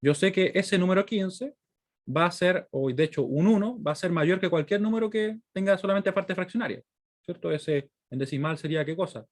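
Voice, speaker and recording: A man's voice: sits high, normal speed. A man with a Chilean accent giving an explanatory lecture to students, working through examples step by step and often checking in with short questions. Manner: didactic, frequent check-in questions. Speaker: university lecturer.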